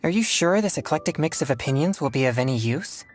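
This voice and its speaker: A man's voice: on the high side, expressive, fast talker, close to an everyday voice. A man voicing a polite, earnest young exorcist in a game cutscene. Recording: game cutscene with faint music.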